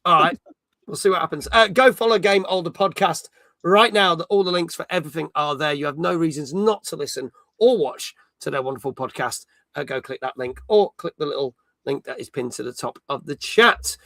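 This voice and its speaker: A man's voice: fairly high pitch, a little fast, sharp tone, no echo. A British man in his forties hosting a gaming live stream, chatting casually and reading out viewer comments. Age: 40s.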